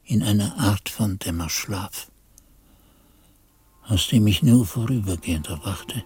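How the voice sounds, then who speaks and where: Husky, wistful monk narrator. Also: male voice, on the low side, slow pace, a little mellow, exaggerated voice. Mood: reflective. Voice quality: husky, breathy. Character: novice monk narrating his memories, drowsy and wistful. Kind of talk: radio play narration.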